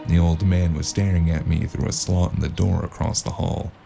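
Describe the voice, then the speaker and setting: A man playing a weary hard-boiled investigator, narrating a noir audio drama in the first person with a gravelly voice. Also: low-pitched, little pitch movement, moderate pace, over music. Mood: brooding.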